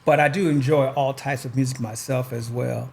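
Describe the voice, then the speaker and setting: A man hosting a podcast, talking in a relaxed, rambling way with lots of pauses and fillers. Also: mid-range voice, vocal fry. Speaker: podcast host. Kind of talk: casual chat.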